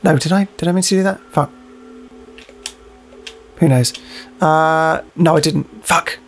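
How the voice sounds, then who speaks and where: A man's voice: on the high side, steady tone, slow pace, a little hiss or hum. A man hosting a gaming video with casual, jokey, sweary commentary, muttering to himself and reading on-screen text aloud. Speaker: gaming video host playing a horror game.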